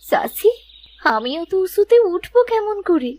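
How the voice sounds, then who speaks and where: Meek, sorrowful cartoon orphan child, very high, swooping, plaintive delivery. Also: female voice, big pitch swings, moderate pace, a little mellow. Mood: pleading. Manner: plaintive, pleading. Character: sad, meek, mistreated orphan village girl. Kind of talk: melodramatic cartoon voice acting.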